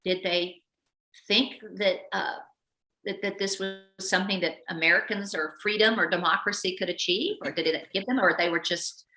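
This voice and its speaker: A woman hosting a casual interview, speaking haltingly and repeating words as she thinks. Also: on the low side, bright, forceful tone, echoey room, soft background music, poor audio. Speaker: host.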